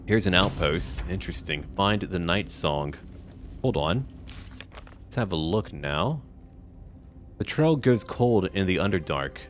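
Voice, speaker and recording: Deep-voiced man chatting through a game. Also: expressive, measured pace, pauses often, a little hiss or hum. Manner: thinks aloud, addresses viewers. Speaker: video game streamer. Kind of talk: casual game commentary.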